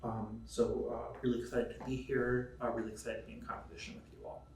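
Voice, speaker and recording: A man speaking on an academic panel, halting with many fillers. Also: medium pitch, level tone. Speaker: panelist, historian. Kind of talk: spontaneous academic panel remarks. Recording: roomy echo, distant mic, faint noise.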